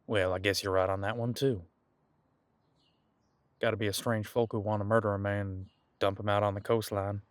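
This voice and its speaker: A man's voice: lowish voice, little pitch movement, moderate pace, pauses often, dry recording, lightly acted. A man playing an easygoing, thousands-of-years-old demon detective in an audio roleplay, chatting in a laid-back, folksy, musing way. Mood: relaxed, musing. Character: easygoing ancient male demon detective living on the coast. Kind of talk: conversational one-sided audio roleplay.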